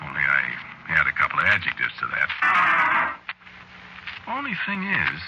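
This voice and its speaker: Rattled low-voiced man, noisy old radio. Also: heavily acted. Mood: bewildered, uneasy. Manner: hesitant, stammering, colloquial, repeats himself. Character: rattled hard-headed radio man narrating his own nightmare. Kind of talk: vintage radio drama monologue. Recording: noisy dull vintage radio recording.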